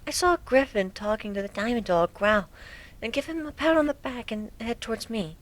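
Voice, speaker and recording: A woman acts out a gentle, anxious young pegasus mare with a high, soft voice. She speaks hesitantly and can sink toward a whisper. It is a first-person dramatic reading of a cartoon fan story. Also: animated, normal speed, natural voice. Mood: timid, nervous. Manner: hesitant, soft-spoken, breaking into near whispers.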